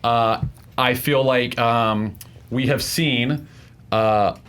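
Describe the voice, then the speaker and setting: A man's voice: medium pitch, steady tone, very slow, a bit bright, clear, resonant tone. A man chatting casually as a contestant on a debate panel show.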